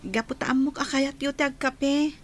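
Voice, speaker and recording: Woman acting very high-pitched plaintive child. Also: fairly even intonation, moderate pace, natural voice. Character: sad young child speaking to father. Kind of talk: Ilocano radio drama acting.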